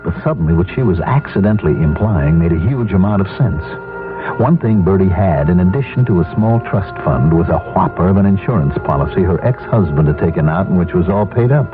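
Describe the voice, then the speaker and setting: An actor playing a desperate middle-aged husband, brooding and confessional, in 1970s radio drama style on a muffled recording. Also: male voice, low voice, lively intonation, fairly quick, music in the background. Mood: brooding, grim. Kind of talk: 1970s radio drama acting and first-person narration.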